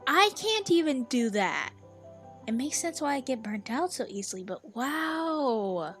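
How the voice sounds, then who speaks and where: A woman streamer giving casual gameplay commentary, gushing and halting, often repeating herself. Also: fairly high pitch, a bit bright. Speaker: streamer reacting to a game.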